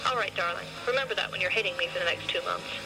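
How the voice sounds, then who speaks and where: A performer voicing a pompous, flattering male fashion designer with a theatrical, flowery manner in an audio story, with music behind. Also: medium pitch, rapid-fire, creaky, noisy background, lightly acted. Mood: tender menace.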